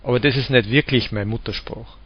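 A man with an Austrian accent from the Vienna area, calmly explaining dialects and giving spoken examples. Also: medium pitch, brisk, breathy edge. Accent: Austrian German, Lower Austria/Vienna. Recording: dull lo-fi recording.

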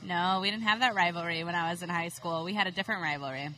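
A woman chatting as a guest on a casual podcast, animated and quick to laugh. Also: fairly low pitch, poor audio. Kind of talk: casual chat.

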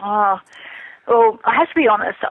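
A woman's voice: mid-range voice, expressive, moderate pace. Woman on a thin phone-like line. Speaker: interview guest, trader. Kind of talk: casual interview conversation. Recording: thin narrowband phone or internet call.

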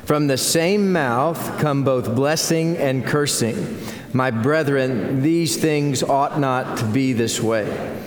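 Slow-preaching Texan pastor in an echoey hall. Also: male voice, medium pitch. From United States. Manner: earnest preaching, rising in intensity. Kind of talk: sermon. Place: church sanctuary.

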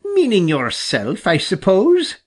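Husky, very swoopy, raised voice, wry unruffled beaver, storybook animal, played by a man. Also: high voice, wildly swooping pitch, takes it slowly, voice pushed up, full character voice. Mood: wry amusement. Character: calm, twinkly-eyed male beaver, wry and polite.

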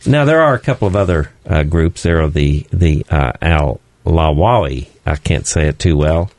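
A man speaking as a studio guest on a radio program, explaining slowly and haltingly with many fillers. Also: extremely low pitch, lively intonation, darkish tone, no echo.

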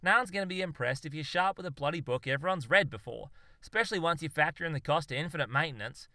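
A man's voice: fairly high pitch, expressive, fairly quick, pushed and bright, no echo, studio quality. A man voicing a fervent, exasperated Australian zoologist in a comic story, ranting emphatically and swearing. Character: exasperated, blustering Aussie bloke zoologist, manically fervent.

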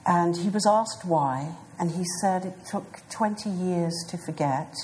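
Low, slow-spoken woman in her fifties. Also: darkish tone, a little hiss or hum, rough recording. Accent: British English. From South Africa. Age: 50s. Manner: hesitant, thoughtful, many pauses and fillers. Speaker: author being interviewed. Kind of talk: reflective interview answers.

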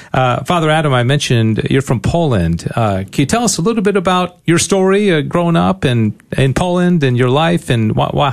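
A man hosts a radio talk show, chatting easily with frequent fillers. His voice sits a little high and moves a lot in pitch. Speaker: radio host. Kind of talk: radio interview.

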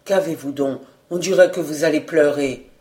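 An earnest young man of the press from a novel, voiced by a woman reader. He speaks low and measured, in formal phrases, with a kindly sincerity. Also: warm tone, natural voice. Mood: concerned.

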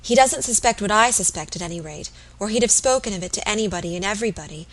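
A woman's voice: medium pitch, expressive, fast talker, fairly bright tone, poor audio. A woman voicing an anxious, deferential young wife in an audiobook, speaking eagerly and earnestly. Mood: eager, confiding. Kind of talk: audiobook character voice.

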